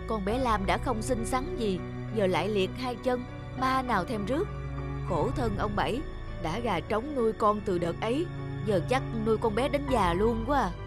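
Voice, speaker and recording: A woman's voice: a little high, animated, normal speed, lightly acted. Woman doing a gossipy neighbor lady, nosy and chatty, music behind. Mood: gossiping.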